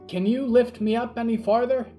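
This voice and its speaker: Man playing an eager high-pitched ghost boy. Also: steady tone, moderate pace, mellow, faint music behind. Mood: eager calling. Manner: whispering, pleading, calling out. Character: eager, pleading ghost boy, shy but boastful.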